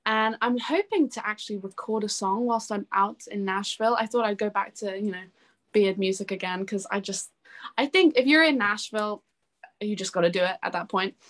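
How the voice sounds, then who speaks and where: A woman's voice: mid-range voice, a little fast, a bit bright. Chatty young woman in casual conversation. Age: young adult. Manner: chatty, informal, enthusiastic. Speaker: interview guest, singer-songwriter. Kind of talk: casual chat.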